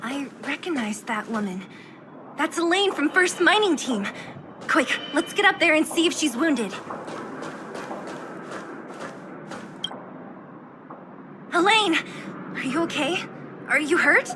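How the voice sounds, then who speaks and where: A woman voicing a brisk, bossy but caring young woman in a video game, direct and commanding. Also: sits high, lively intonation, noisy background. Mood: concerned.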